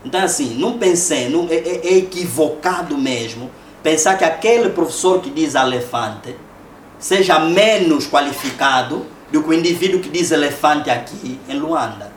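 A man's voice: fairly high pitch, moderate pace. A man with an Angolan Portuguese accent giving a public lecture in a conversational way, often ending points with rhetorical tag questions. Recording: echoey room, distant mic, noisy.

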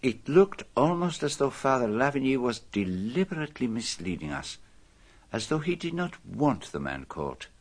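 An actor playing a self-assured, methodical detective in a radio drama, speaking formally with dramatic emphasis. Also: male voice, medium pitch, very animated, moderate pace. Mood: measured, probing. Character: famous male detective, methodical, self-assured, theatrical in revealing a murderer.

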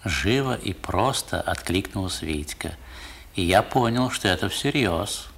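Wistful literary narrator, lowish husky voice, expressive measured storytelling, vintage radio recording. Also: male voice, exaggerated voice. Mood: wonder. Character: wistful male narrator recalling boyhood. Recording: old 1960s radio recording.

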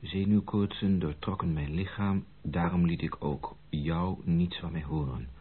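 An actor playing a weary, melancholy gentleman who voices his own letter in a Dutch radio play, measured and formal. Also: low voice, fairly even intonation, slightly rough. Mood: subdued, formal. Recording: muffled narrow radio recording, faint noise.

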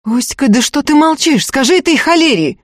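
A woman's voice: high-pitched, lively intonation, brisk, no echo, studio quality, voice pushed up. A woman voicing a harsh, anxious peasant mother scolding her accusers and her silent daughter.

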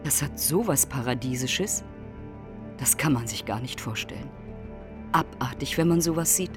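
A man's voice: mid-range voice, normal speed. Older seafaring man reminiscing, music behind. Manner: discursive, marvelling, vividly descriptive. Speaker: former seaman recalling his voyages. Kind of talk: spontaneous spoken reminiscence in a radio feature.

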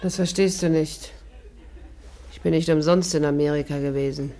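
A worldly young barmaid with a low voice. She is flippant and sardonic, teasing men coolly in the manner of a 1950s radio drama. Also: fairly even intonation, normal speed, warm tone. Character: cool, mocking young barmaid playing a worldly gangster's girl. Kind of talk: 1950s German radio play acting.

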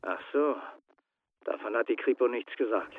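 An actor playing a guarded, defensive former escape helper in a radio drama, curt and reluctant, heard through a telephone filter. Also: male voice, medium pitch, moderate pace, no echo. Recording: thin, tinny phone line.

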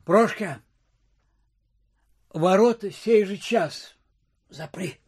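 An actor playing an old, miserly landowner in an audio play, muttering and pleading, repeating himself in a husky, wheezy voice. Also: male voice, high voice, drawn-out words, no echo, studio quality, heavily acted. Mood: bossy, muttering. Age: older. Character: shrivelled old miserly landowner, wheedling and fussy.

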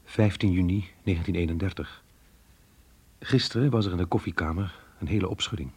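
An actor playing a troubled, self-reproaching married man, confiding in his diary in a radio drama with a breathy, husky voice. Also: low-pitched, fairly quick, darkish tone. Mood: matter-of-fact.

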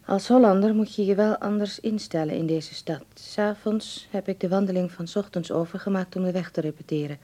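A woman's voice: medium pitch, fairly quick, hardly any pauses, dark tone, lightly acted. A woman reading out a letter as narration in a radio drama. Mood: calm, reflective.

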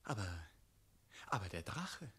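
An earnest, courteous knight errant, in a theatrical radio play. The voice is bright and husky, swinging widely in pitch as he speaks with deliberate resolve. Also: sing-song, heavily acted. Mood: courteous, hesitant.

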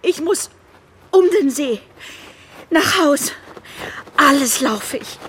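A woman's voice: sits high, lively intonation, slow pace, fairly bright tone, slight background noise, exaggerated voice. An actress in a German radio drama playing a frightened, distraught teenage girl. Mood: panicked, refusing.

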